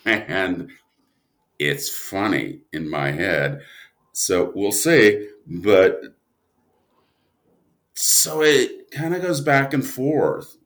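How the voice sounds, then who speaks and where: Low-pitched, animated man, slow and halting. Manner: animated, halting, trails off and restarts mid-thought. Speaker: interview guest, audio drama writer. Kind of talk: casual interview conversation.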